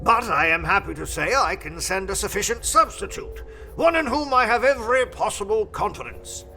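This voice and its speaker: A man's voice: sits high, expressive, normal speed, bright, forceful tone, full character voice, low-quality recording. A man playing a courteous employer voicing his formal letter in an audio drama. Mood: formal, regretful. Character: gout-ridden English employer dictating a courteous, formal letter. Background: music.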